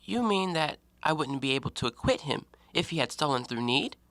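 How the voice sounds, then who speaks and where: A man playing a talkative, educated and self-righteous gentleman antiquarian in an audio drama, given to theatrical, argumentative speeches. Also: a little high, expressive, a little fast, no room sound. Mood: firm, reasoning. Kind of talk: stage-play acting in an audio drama.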